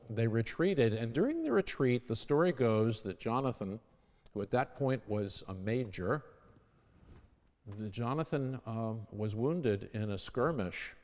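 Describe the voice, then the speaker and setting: Man telling church history in a reverberant sanctuary. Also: lowish voice. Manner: conversational, with restarts and self-corrections. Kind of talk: informal historical talk to a congregation.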